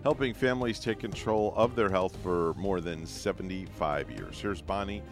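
A man hosting a morning radio show, originally from New York, who teases his co-hosts, laughs often and reads the sponsor lines briskly. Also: low-pitched, music in the background. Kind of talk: joking morning radio banter.

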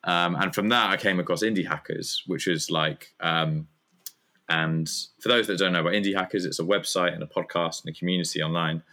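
A man's voice: low-pitched, steady tone, a little fast, pushed and bright. A man, a guest chatting casually in an interview and rambling with many fillers. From Germany. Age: 30s. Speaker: guest, community founder.

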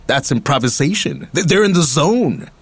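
An actor playing a weary, tender middle-aged uncle haunted by prison in a radio play, confiding haltingly and wistfully. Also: male voice, on the high side, lively intonation, breathy edge. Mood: gently pleading.

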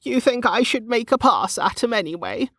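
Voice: medium pitch, moderate pace, a bit bright, breathy edge, no room sound, clean studio sound, voice pushed up. A narrator voicing a teasing, bawdy old woman who runs a market stall, with a knowing manner, in an audiobook. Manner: teasing, knowing, calls people girl and dear. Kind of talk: audiobook character dialogue.

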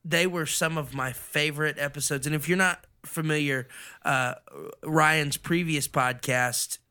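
Bright-voiced man chatting on a podcast. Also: fairly high pitch, steady tone, measured pace, clear, resonant tone. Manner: enthusiastic, rambling. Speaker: podcast co-host. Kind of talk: casual podcast chat.

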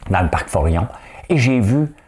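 Host, male, Quebec French accent, low voice with a lively, swinging delivery, solo chatty commentary. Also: normal speed. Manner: chatty, asks "hein?" often, joking asides. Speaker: solo online show host. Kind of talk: casual solo commentary show.

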